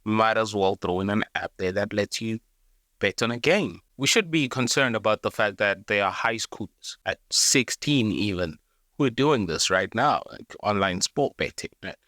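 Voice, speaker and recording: A man's voice: lowish voice, moderate pace, bright, forceful tone, no room sound, studio quality. A South African man hosting a documentary-style video, speaking earnestly and directly to the viewer. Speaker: video essay host. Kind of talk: scripted documentary narration.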